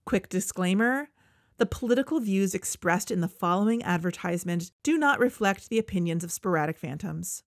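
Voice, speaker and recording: A woman's voice: medium pitch, lively intonation, normal speed, dry recording, studio quality, close to an everyday voice. A woman playing an investigative podcast host, narrating in a serious, conversational, scripted style. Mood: matter-of-fact. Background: background music.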